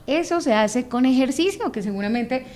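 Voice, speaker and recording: A woman's voice: high voice, moderate pace, very noisy. A woman from Bogotá with a Bogotá Colombian accent, a voice actress explaining her craft in an interview and demonstrating voices as she talks. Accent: Bogotá Colombian Spanish. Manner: expressive, demonstrates voices mid-sentence.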